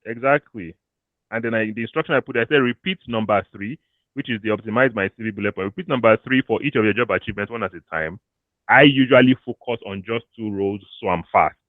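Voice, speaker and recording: Animated Nigerian man, thin phone-like sound. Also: lowish voice, fast, no room sound. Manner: animated, switches into pidgin, laughs often. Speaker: career coach. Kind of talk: casual talk to an audience. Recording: thin phone-like dry audio.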